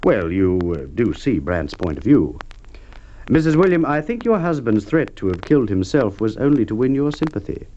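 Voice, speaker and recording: An actor playing a shrewd, polite police inspector in a Golden Age radio drama, formal, deliberate and insinuating. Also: male voice, mid-range voice, sing-song, dark tone, close to an everyday voice. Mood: insinuating, pointed. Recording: muffled, noisy old radio recording with clicks.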